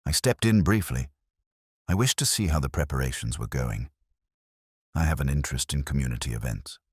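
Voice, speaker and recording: Man voicing a prim, stiff, animated village woman. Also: very deep voice, brisk, husky, dry recording, studio quality, heavily acted. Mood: defensive, bristling. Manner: stiff, tight, defensive. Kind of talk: audio mystery story dialogue.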